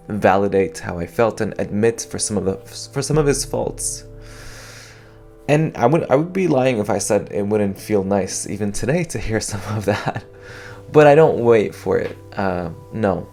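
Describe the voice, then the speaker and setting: A man's voice: fairly low pitch, gravelly, a little mellow. A young man from Canada speaking in a thoughtful, hesitant way, with many fillers and restarts, as he reflects on personal experience. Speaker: podcast host.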